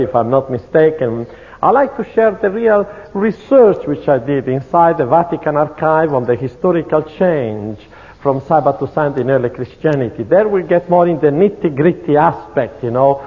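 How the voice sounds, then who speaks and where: Italian accent guy lecturing at church, kinda high voice, tinny phone-like sound w/ long hall echo. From Italy. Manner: measured, earnest, prayerful. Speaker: speaker at a church conference. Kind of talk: sermon-style lecture with testimony.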